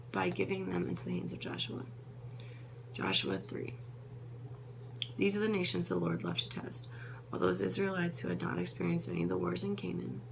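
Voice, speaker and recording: Woman, scripture reader, heavy vocal fry, trips on names and restarts, noisy hum behind. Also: lowish voice. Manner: stumbles over names and corrects herself. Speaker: Bible reader. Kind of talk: reading scripture aloud.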